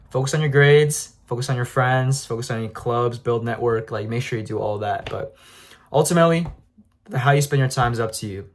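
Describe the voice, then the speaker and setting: A man in his early twenties giving a casual talking-to-camera reflection, rambling with many fillers and restarts and laughing at his own slips. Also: medium pitch. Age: 20s. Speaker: vlogger.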